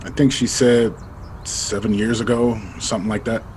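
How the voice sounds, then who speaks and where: A man's voice: on the low side, little pitch movement, normal speed, darkish tone, a little hiss or hum, natural voice, poor audio. A man acting in an audio drama as a guarded, regretful husband who talks in a colloquial, defensive way. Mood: regretful, low-key. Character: married man hiding an old engagement, guarded and regretful.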